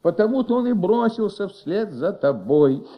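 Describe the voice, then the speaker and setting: An actor in a Soviet radio play playing a patient, shrewd middle-aged police commissaire with flu, questioning gently in a husky, creaky voice. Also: male voice, sits high, big pitch swings, moderate pace, highs cut off. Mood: earnest, explaining. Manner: gentle, coaxing, paternal questioning.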